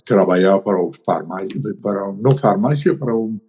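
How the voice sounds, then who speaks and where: A man's voice: on the low side, fairly quick, darkish tone. An older man, a podcast guest who tells his story hesitantly, with many fillers and tag questions. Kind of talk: conversational storytelling.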